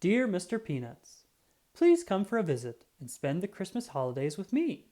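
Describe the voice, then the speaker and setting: A friendly squirrel cousin from a children's storybook, read by a woman, speaks in a mellow, lively voice. The manner is cheerful and inviting, with plenty of rise and fall. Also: on the low side, moderate pace, pitched above the performer's own voice. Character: friendly, hospitable squirrel cousin who loves Christmas.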